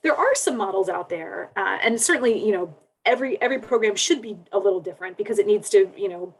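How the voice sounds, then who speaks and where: A woman academic explaining things conversationally on a panel, in a measured, careful manner. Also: mid-range voice, animated, poor audio.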